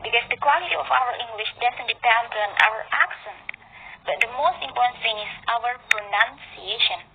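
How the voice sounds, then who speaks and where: A woman's voice: fairly high pitch, moderate pace. A woman with an Indonesian accent sharing an upbeat, earnest opinion in a voice message. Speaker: discussion participant. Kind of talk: prepared voice message on a topic. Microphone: phone voice message. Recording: phone-like audio with clear noise.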